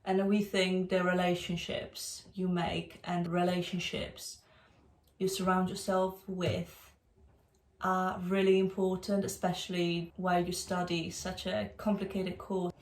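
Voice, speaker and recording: A woman's voice: on the low side, monotone, measured pace, a little mellow. A young woman whose first language is Polish, a student co-host talking in an earnest, thoughtful way. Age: young adult. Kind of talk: casual chat. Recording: echoey room.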